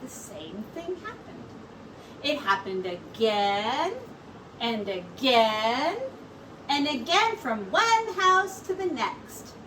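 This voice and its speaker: Female storyteller, high voice, unhurried and expressive children's read-aloud, recorded at a distance from the mic. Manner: expressive, deliberate storytelling with brief asides to listeners. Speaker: teacher. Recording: distant mic with faint background noise.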